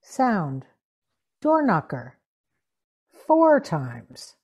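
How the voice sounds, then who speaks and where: Woman, sound effects reader, very slow delivery, soft mellow tone, short radio-play cues. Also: mid-range voice, wildly swooping pitch, breathy edge.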